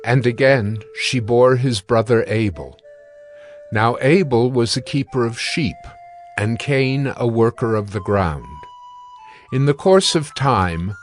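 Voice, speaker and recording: A man narrating scripture from a script in a measured, solemn manner. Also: mid-range voice, expressive, soft background music.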